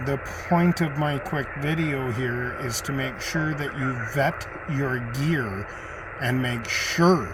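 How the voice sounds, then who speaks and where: A man giving an unscripted gear review in a measured, halting way with deliberate pauses. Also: mid-range voice, noisy background. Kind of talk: unscripted product review talk.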